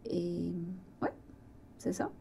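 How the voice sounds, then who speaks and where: A woman's voice: very slow, warm tone. A woman from western France with a French accent from France, telling personal stories casually as an interview guest, in a hesitant, reflective manner. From Vendée, western France. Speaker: interview guest, arts organisation director.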